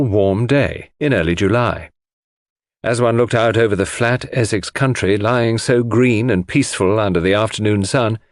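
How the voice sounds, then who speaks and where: A man's voice: lowish voice, no room sound, studio quality. A man reading a scripted first-person literary narration as an audiobook narrator.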